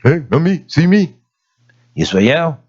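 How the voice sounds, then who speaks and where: Raspy preacher man with lively swings. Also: on the high side, slow, dull audio. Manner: folksy storytelling preaching, rising to emphatic peaks. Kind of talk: sermon.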